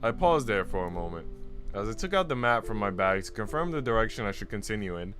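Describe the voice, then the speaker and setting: A man with a fairly low voice is streaming a visual novel read-aloud. He moves between story narration and loose, slangy talk with his viewers, while faint guitar music plays behind him. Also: sing-song, quick pace. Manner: casual, slangy asides. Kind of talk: calm story read-aloud mixed with casual chat to viewers. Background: guitar and instrumental music.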